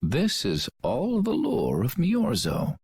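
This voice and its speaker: An actor playing an eccentric village elder who keeps ancient lore, with a raspy voice, in a formal video game style. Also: mid-range voice, huge pitch swings, slow, darkish tone, a little hiss or hum, heavily acted. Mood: solemn, measured.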